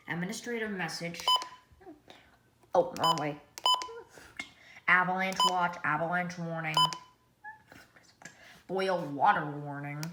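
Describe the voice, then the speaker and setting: An echoey room recording with loud noise carries a woman's slightly low voice. She demonstrates a gadget casually as a reviewer. Her delivery rambles, moving through items one at a time. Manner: rambling, reading menu items aloud one by one. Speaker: product reviewer.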